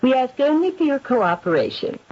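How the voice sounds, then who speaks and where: Radio drama casting: intense aristocratic séance hostess, formal and portentous, dramatically varied pitch, dull vintage sound. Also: female voice, big pitch swings, moderate pace. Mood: gracious. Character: intense aristocratic lady spiritualist, solemn believer in malignant ghosts. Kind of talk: 1970s radio drama acting.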